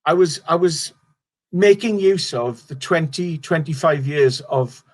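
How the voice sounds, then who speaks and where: Middle-aged Welsh man, comic, higher voice, loose rambling storyteller. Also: unhurried. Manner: rambling, restarts sentences, swears casually. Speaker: stand-up comedian guest. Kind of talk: casual interview chat.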